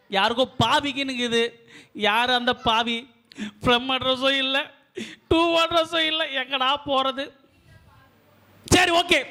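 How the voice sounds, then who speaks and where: A man's voice: extremely high pitch, sharp tone. Figure: a man doing a whiny bumbling courier puppet. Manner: whiny, pleading, mutters complaints. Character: bumbling grumbling male parcel courier. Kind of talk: live puppet show.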